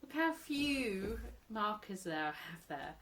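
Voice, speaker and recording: A woman in her thirties, chatting casually at home about her crochet, a little croaky from a cold, rambling and laughing often. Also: mid-range voice, very animated, slow pace, a bit bright. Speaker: crochet vlogger, mother. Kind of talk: casual show-and-tell chat.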